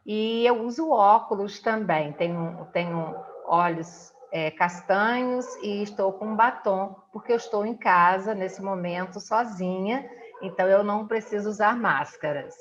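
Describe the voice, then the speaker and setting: A middle-aged woman from the of Rio de hosts a conversational interview from home, often halting to search for words. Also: mid-range voice, lo-fi sound. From Brazil. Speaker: interviewer and host. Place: indoors at home.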